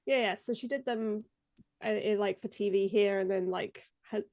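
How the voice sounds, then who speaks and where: A woman's voice: medium pitch, moderate pace, darkish tone, no room sound. A young woman, a video editor guesting on a live stream, chatting casually and laughing often while sounding stuffed up from a cold. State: congested, stuffed up.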